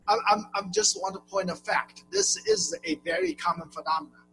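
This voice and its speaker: A man with a Chinese accent, speaking high and bright, interprets a sermon into English as it goes. He stumbles and repeats himself, and faint music plays underneath. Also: sing-song, rough recording. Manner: halting, repeats and restarts while translating.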